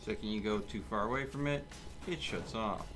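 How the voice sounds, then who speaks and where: A man's voice: fairly low pitch, a little fast, music in the background. A man hosting a livestream, chatting casually and giving instructions to his teammates as he plays a game. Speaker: livestream host and player. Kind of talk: casual gaming stream chat, giving team instructions.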